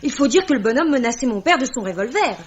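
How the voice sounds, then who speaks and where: A woman's voice: high-pitched, animated, quick pace, slightly rough. An actress playing a cool-headed young Irish woman, wry and composed, in 1950s French radio drama style. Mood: matter-of-fact. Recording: dull, band-limited old radio recording.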